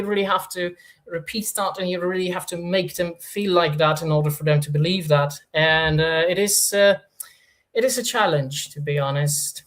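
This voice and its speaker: A man from Poland with a Polish accent touched by Norwegian, hosting a casual livestream Q&A and reading chat comments, hesitant with many fillers and restarts. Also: high-pitched. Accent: Polish, with Norwegian influence. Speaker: livestream host.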